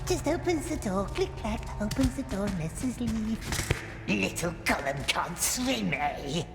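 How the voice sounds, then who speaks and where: A woman's voice: medium pitch, expressive, a little slow, creaky. A woman voicing a wretched, sly creature that hisses and whispers and calls himself "we". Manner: hissing whispers, talks of himself as we. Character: Gollum, wretched hissing creature, cringing and sly.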